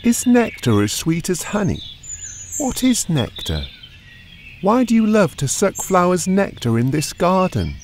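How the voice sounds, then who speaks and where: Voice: medium pitch, sing-song, unhurried, a little mellow, a little hiss or hum. An actor voicing an arrogant, curious young boy prince in an exclamatory cartoon story style. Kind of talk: children's cartoon story acting.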